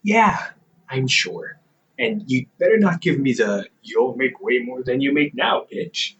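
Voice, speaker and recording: A man's voice: medium pitch, lively intonation, measured pace, a bit bright, dull audio, a little hiss or hum. A man voicing a playful young adult older brother who works as a company therapist, teasing the listener and laughing often in an audio roleplay.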